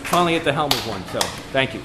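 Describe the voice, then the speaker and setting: A man's voice: medium pitch, animated, racing. Polite, hesitant man in a noisy meeting room. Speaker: club play director. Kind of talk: informal announcement to a club meeting. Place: indoor club meeting room. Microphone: camera microphone at a distance. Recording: noisy room through a camera microphone.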